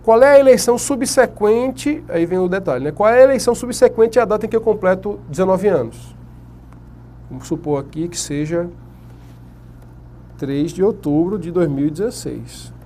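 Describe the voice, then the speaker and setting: A man's voice: sits high, expressive, normal speed, warm tone, slight background noise. A man with a Brazilian Portuguese accent giving an exam-prep lecture at a whiteboard. He asks the class questions and answers them himself. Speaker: teacher.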